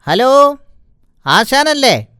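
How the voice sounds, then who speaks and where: Wheedling, slow-talking cartoon sidekick critter. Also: male voice, extremely high pitch, sing-song, very noisy, full character voice. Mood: eager. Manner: wheedling, fawning. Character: sly, flattering male animal sidekick, grumbling underling. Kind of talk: cartoon voice acting.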